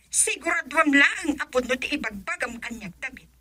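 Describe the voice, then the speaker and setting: An actress with an Ilocano Filipino accent playing a sharp, suspicious wife on a phone call in a radio drama. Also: female voice, sits high, animated, moderate pace. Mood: suspicious, scolding.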